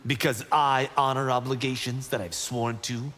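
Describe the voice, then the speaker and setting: A man's voice: medium pitch, little pitch movement, moderate pace, fairly bright tone, close to an everyday voice. A man voicing a brash, boastful young martial-arts fighter in a video game, teasing as he talks. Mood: challenging. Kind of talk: video game character banter. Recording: reverberant hall with faint background noise.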